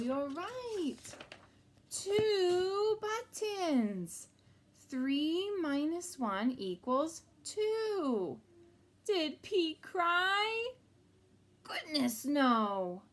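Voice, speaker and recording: High-pitched woman reading slowly to children. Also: a bit bright. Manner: sing-song, exaggerated expression, addressing children. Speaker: kindergarten teacher reading aloud. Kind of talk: animated picture-book read-aloud for young children.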